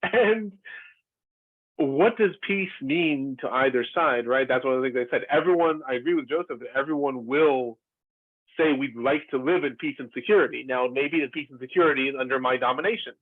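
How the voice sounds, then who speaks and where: A man's voice: mid-range voice, fairly quick, dry recording. Guy from israel talking it through on a video call, lots of ums, thin phone-ish sound, dead dry. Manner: thoughtful, many fillers and restarts. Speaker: former lecturer in a discussion panel. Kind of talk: earnest discussion. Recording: tinny, dry call audio.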